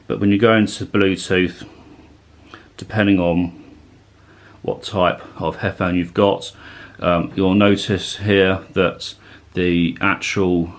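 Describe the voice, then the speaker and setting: A man with a fairly low-pitched voice talks through a product review. His delivery is casual and unscripted, with an informal, slightly hesitant way of explaining things. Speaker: tech reviewer.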